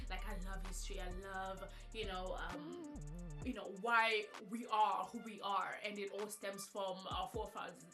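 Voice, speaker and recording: A young woman from Zimbabwe answering questions in a casual vlog, talking candidly and filling her sentences with "like". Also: mid-range voice. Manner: chatty, candid, lots of filler "like". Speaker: vlogger. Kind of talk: casual get-to-know-me Q&A. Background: background music.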